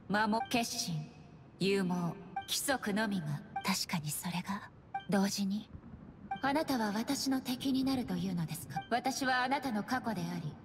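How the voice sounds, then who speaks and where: A woman's voice: fairly high pitch, lightly acted. A woman voicing a proud, solemn immortal shogun in an anime game, speaking in a formal, stern, stately way. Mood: solemn, cold. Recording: loud game music, combat crashes. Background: loud music and smashing battle effects.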